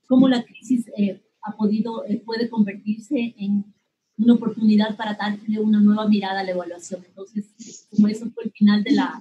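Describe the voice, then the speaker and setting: A woman moderating a webinar, reading out audience questions in a halting way with many fillers. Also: mid-range voice, warm tone, breathy edge. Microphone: video call. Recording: dull lo-fi online call audio.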